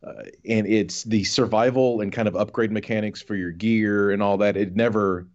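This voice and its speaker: Male podcast panelist, very low voice, halting casual review delivery, muffled lo-fi recording. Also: steady tone, darkish tone. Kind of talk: casual game review chat. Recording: dull, band-limited voice audio.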